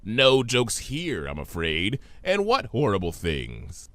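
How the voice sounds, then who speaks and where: A man's voice: on the low side, wildly swooping pitch, slow pace, fairly bright tone, no echo, exaggerated voice. A man voicing a smug, mischievous male trickster creature with a breezy, dismissive drawl in a story reading. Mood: mock-innocent.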